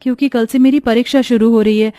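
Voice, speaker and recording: A woman's voice: fairly high pitch, steady tone, quick pace, mellow, no room sound, soft background music, close to an everyday voice. A woman voicing a meek, overworked young stepdaughter in a melodramatic storybook tale, timid and pleading.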